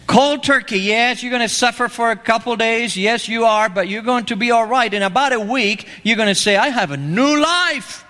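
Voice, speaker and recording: A man's voice: very high voice, normal speed, hardly any pauses, pushed and bright. A man from Argentina preaching an evangelistic sermon, emphatic and pleading, repeating his key phrases. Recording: long reverb of a hall.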